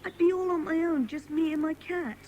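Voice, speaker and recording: A high, childlike voice from a woman acting as a frightened young runaway boy. He is plaintive and guarded, with a streak of defiance, in a children's audio drama. There is faint noise behind him. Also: fairly quick, warm tone. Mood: plaintive, confiding.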